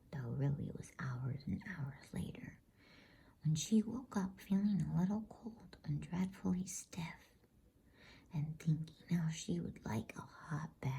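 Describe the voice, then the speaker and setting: A woman reading a bedtime story in a hushed, breathy ASMR whisper. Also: low-pitched. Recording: faint background noise.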